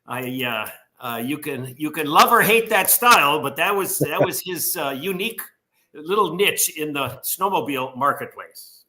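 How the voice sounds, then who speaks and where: A man who collects old machines explains them in an eager, well-informed way. His voice is bright and sits a bit high. He goes through the details casually. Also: expressive, normal speed, poor audio. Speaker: guest collector.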